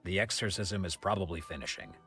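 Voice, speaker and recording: An actor playing a proud, regretful middle-aged swordsman in a video game, telling his tale in a formal, measured way. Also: sits low, animated, a bit bright. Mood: regretful, earnest. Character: proud, regretful swordsman, former senior disciple of a dojo. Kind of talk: English video game voice acting. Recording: music behind the voice. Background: game background music.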